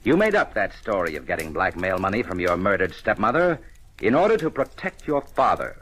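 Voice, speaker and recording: An actor playing a shrewd, relentless police inspector, questioning deliberately and pointedly in 1940s radio detective style. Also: male voice, lowish voice, very animated, a bit bright. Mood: pressing.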